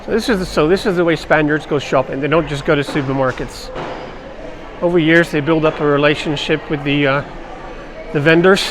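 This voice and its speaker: A man's voice: a little high, fairly even intonation, brisk. Chatty man at a noisy outdoor market. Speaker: vlog host. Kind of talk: casual chat while shopping. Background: street and market noise.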